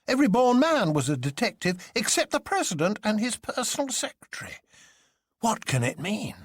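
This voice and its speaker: A man voices an astonished gentleman detective in a dramatic story reading. The pitch jumps well up, with a husky edge and emphatic, exclamatory delivery. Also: big pitch swings, normal speed, no room sound. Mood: astonished, agitated.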